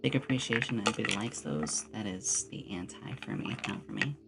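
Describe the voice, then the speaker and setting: Very low, creaky-voiced woman streamer over music. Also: animated, fairly quick. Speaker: streamer and game master. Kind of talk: casual ASMR livestream chat. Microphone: close binaural ASMR microphone.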